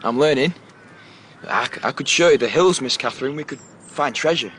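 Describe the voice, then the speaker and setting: An actor playing a rough, unlettered young farm lad in a period audio drama, shy, proud and easily hurt, speaking awkwardly. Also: male voice, mid-range voice, animated, a bit bright, slight background noise, lightly acted. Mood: shyly proud. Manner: halting, awkward.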